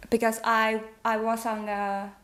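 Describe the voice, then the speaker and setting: A woman's voice: a little high, monotone, a little slow. The giggly, hesitant thirty-year-old Taiwanese woman you would hear on a lifestyle channel, a native Mandarin speaker casually telling viewers about her life. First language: Mandarin Chinese. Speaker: vlog host. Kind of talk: casual relationship chat.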